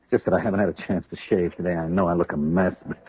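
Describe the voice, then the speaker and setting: A man's voice: low-pitched, fairly even intonation, very fast, breathy edge. An actor with an American accent playing an agitated, obsessed man bent on payback in an old-time radio drama, speaking urgently and insistently. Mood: harried. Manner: urgent, insistent, stammering under stress. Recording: muffled vintage radio broadcast recording.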